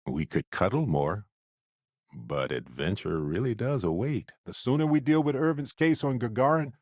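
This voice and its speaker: Wry, low-voiced male space explorer. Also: normal speed, no room sound, studio quality. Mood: upbeat, curious. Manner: wry, playful, expressive. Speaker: video game voice actor. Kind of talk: acted video game companion dialogue.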